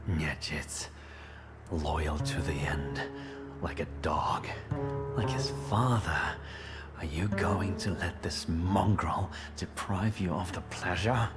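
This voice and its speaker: An actor playing a menacing, smooth-talking police chief in a dramatic video game, speaking in a formal, taunting, measured way. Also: male voice, sits low. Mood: taunting, defiant. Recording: loud music under the voice.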